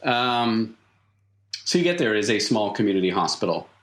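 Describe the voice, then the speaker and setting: Low-voiced man narrating a tabletop game. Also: very animated, fairly bright tone. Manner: halting, thinking aloud, repeats words for emphasis. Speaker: game master.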